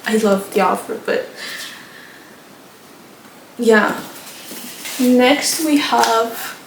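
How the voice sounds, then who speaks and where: Young Moldovan woman, chatty book vlogger, unhurried, roomy echo. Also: a little high, gravelly, recorded from a distance, a little hiss or hum. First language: Romanian. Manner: chatty, rambling, frequent restarts and fillers. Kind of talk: casual chat. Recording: echoey room, from off the mic.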